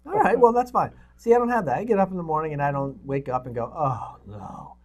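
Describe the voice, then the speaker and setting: A middle-aged man co-hosts with casual banter. His voice sits a little high and swings widely in pitch as he chats. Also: animated, fast. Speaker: co-host.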